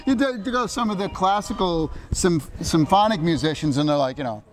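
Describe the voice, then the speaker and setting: A middle-aged man giving an energetic, jokey interview at a rehearsal, acting out quotes along the way. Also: high voice, a little fast. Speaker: show creator. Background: orchestra rehearsal.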